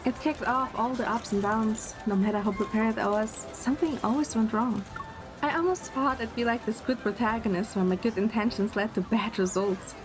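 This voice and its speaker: A woman playing a fond, gently teasing young girlfriend and film producer in a romantic game audio drama. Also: a little high, normal speed, music in the background, natural voice. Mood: reflective, tender.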